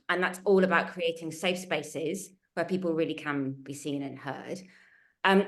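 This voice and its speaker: Earnest woman on a webinar panel. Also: deep voice. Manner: earnest, emphatic, builds lists. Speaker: panelist from a culture change consultancy. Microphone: video call.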